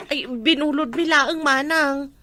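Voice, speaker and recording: Woman playing defensive ilocano village wife, really high sharp voice, always bickering, comedy drama. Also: unhurried. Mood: flustered, apologetic. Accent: Ilocano, northern Philippines.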